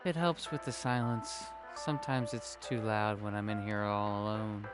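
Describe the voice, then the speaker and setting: Man voicing a low, subdued noir widow. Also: steady tone, moderate pace, natural voice. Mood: guarded, sorrowful. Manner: hesitant, subdued. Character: guarded, grieving adult widow in a noir mystery. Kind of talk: noir audio drama dialogue. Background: music.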